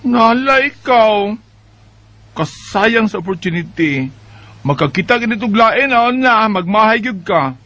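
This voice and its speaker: A teasing young best friend acted by a man in a homemade Bisaya radio drama, high-pitched and bright with a Filipino accent. Lines are drawn out and exclamatory, on a slightly noisy recording. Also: animated, a little slow, highs cut off, exaggerated voice. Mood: teasing, sympathetic.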